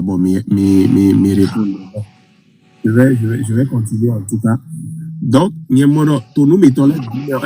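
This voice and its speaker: Hoarse caller, low voice. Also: male voice, dark tone, low-quality recording. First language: Goun. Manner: rambling, halting, code-switching, many fillers. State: hoarse from a cold.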